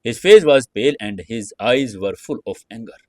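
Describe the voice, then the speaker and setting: A man narrating an audio story in a dramatic scripted style, with a halting delivery that stumbles over words. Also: mid-range voice, lively intonation, no echo, studio quality.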